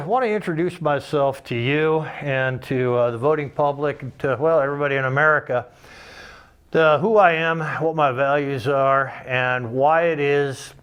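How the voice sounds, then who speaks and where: A man with a naturally loud, mid-range voice talks straight to a nearby camera mic. His delivery is unscripted and rambling, full of restarts and fillers. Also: medium pitch. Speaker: political candidate hosting his own weekly videos. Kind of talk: casual unscripted campaign talk to camera. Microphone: camera microphone close by.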